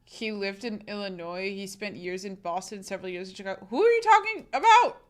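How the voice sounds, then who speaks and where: A woman streaming live and chatting casually with her audience, often repeating herself and apologizing in quick bursts. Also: medium pitch, expressive. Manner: rapid repeated apologies and asides to chat.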